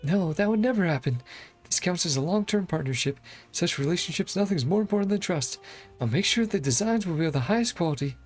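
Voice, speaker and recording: A streamer voicing a polite, earnest inventor-blacksmith from a game, explaining things in a formal, measured way. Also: medium pitch, expressive, a little mellow, natural voice. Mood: reassuring. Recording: background music.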